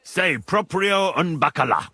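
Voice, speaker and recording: An actor playing a plain-spoken, earnest young hero in a video game, with a dry, understated manner. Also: male voice, fairly high pitch, expressive, unhurried, sharp tone, husky, dry recording, exaggerated voice. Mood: attentive.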